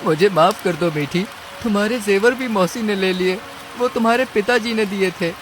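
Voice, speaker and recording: A man's voice: high-pitched, moderate pace, over music, voice pushed up, natural voice. A man playing a clever young male mouse in a cartoon story, with a plaintive, dramatic delivery. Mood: hurt, apologetic. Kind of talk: Hindi cartoon story acting.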